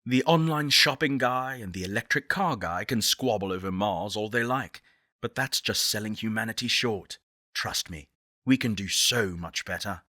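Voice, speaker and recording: A man playing an earnest, eloquent space-program director in a video game, speaking in measured, deliberate oratory. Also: lowish voice, bright, forceful tone, dry recording, studio quality. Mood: wry, dismissive. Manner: articulate, deliberate, solemn.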